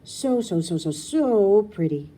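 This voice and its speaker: A woman voicing an excitable, gushing young girl who whines and sighs in a storybook reading. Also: medium pitch, slow, pitched above the performer's own voice, low-quality recording. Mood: awestruck. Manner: sighing, whining, exclaiming.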